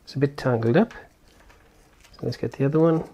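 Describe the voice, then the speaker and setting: A man's voice: medium pitch, a little fast, dark tone, highs cut off, slight background noise. A man giving casual hands-on product commentary as a reviewer, explaining step by step and thinking aloud informally. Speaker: tech reviewer and presenter.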